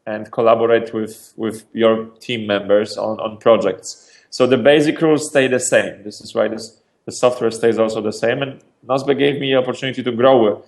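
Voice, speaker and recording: A man from Poland, a startup founder answering interview questions in a lively, energetic way, often restarting and repeating words. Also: medium pitch, normal speed. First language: Polish.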